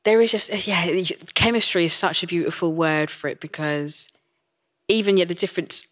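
Low-voiced woman hosting live. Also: moderate pace, fairly bright tone. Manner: casual, chatty, agreeing often. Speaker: podcast host. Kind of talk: live podcast conversation. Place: live event stage.

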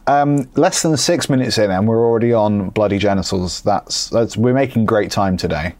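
A man with a lowish voice trading dry, sarcastic banter on a podcast. He teases his co-host in an easy, deadpan way. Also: moderate pace, no echo. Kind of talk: casual chat. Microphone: remote call setup.

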